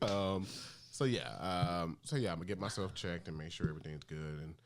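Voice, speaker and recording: A man's voice: sits low, brisk, a little hiss or hum. A man in his thirties co-hosting a podcast, laid-back and joking, laughing a lot as he chats. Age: 30s. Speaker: podcast co-host. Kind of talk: casual chat.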